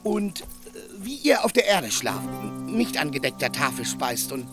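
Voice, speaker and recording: A man's voice: on the high side, sing-song, a little slow, over music. A man playing a simple, chatty peasant squire in a radio play, stammering and hedging in blunt, earthy talk. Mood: hedging, earnest. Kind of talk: German radio play acting.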